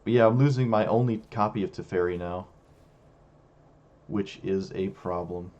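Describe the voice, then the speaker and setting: A young man with a hoarse, failing voice, casually commenting on his own card game as a streamer, musing and self-critical. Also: low-pitched, moderate pace, a little mellow, lo-fi sound.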